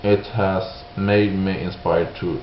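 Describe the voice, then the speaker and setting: A man from Sweden with a Swedish accent, giving an unscripted opinion monologue in halting English, hesitant and searching for words. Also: deep voice, little pitch movement. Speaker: video creator giving opinions. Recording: roomy, dull lo-fi sound with hiss.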